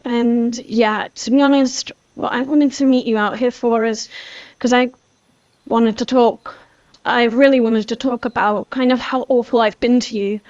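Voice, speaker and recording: A young woman playing an apologetic teenage girl in an amateur voiced game series, talking in a halting, earnest ramble. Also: fairly high pitch, fairly even intonation, a little mellow, no echo, natural voice. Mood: guilty, pleading. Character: guilty, apologetic teenage girl, former best friend. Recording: dull lo-fi voice track.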